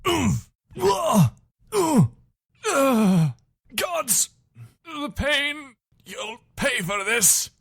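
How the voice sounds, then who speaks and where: A man's voice: extremely high pitch, sing-song, extremely slow, vocal fry, long pauses, a bit bright, husky, no echo, clean studio sound, heavily acted. A man playing a loyal, weary imperial soldier in a fantasy video game, speaking in an earnest, conversational, soldierly way. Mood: pained, then aggressive, shouting. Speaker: voice actor for a game character. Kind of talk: video game character dialogue.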